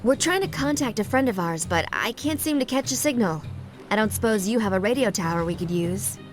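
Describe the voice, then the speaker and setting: A woman's voice: medium pitch, animated, a little fast, faint music behind, lightly acted. A woman playing an alert, capable young adventurer in a video game cutscene. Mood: polite.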